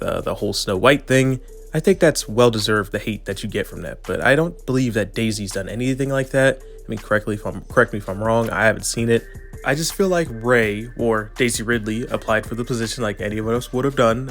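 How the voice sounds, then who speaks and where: A man's voice: fairly low pitch, vocal fry. A man with a stuffy, nasal voice from allergies, giving earnest, rambling opinion commentary with frequent restarts. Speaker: video commentator.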